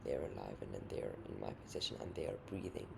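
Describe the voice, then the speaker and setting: Noisy recording, deep creaky tyrant voiced by a man, mocking, coaxing, theatrical storybook villain. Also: big pitch swings, fast, exaggerated voice. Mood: ominous. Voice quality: deep, booming, gravelly. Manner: theatrical, repetitive, coaxing menace. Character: menacing, mocking, unholy male tyrant, the suzerain. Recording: noisy amateur home recording.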